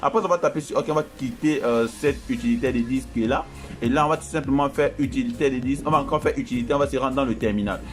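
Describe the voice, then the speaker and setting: A man's voice: medium pitch, fast talker. A man who does not speak French as his first language, talking viewers through a computer setup in a casual, reassuring voice-over and calling them his friends. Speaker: tech tutorial host. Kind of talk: screen-recorded tutorial walkthrough. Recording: voice-over with loud background music.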